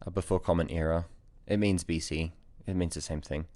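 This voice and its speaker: A man co-hosting a family podcast, casually chatting and telling stories with deadpan humor. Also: low-pitched, fairly quick, no echo. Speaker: podcast co-host. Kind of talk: casual chat and storytelling.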